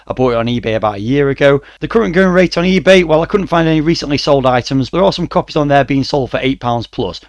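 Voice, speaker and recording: A middle-aged man with a croaky voice, reviewing a retro game with dry, wry asides. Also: mid-range voice, no room sound. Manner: dry, mildly wry asides with frequent "uh" fillers. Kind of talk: relaxed spoken review.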